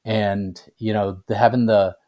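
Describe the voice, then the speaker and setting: A man's voice: lowish voice, flat delivery, a little slow, warm tone. An older man being interviewed as an author, speaking conversationally and often checking in with the listener. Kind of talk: casual interview chat.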